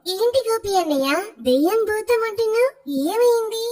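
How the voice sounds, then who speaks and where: Woman voicing a very high-pitched college girl. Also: expressive, moderate pace, darkish tone. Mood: bright, eager. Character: young college girl in love, nagging, pleading daughter. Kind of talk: cartoon story dialogue. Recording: music behind the voice. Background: music.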